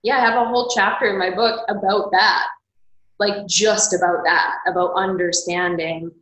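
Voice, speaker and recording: A woman's voice: medium pitch, steady tone, moderate pace, fairly bright tone, roomy sound, rough recording. A woman author and coach talking candidly in an interview, reflective and open, with lots of "like" fillers. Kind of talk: casual interview conversation.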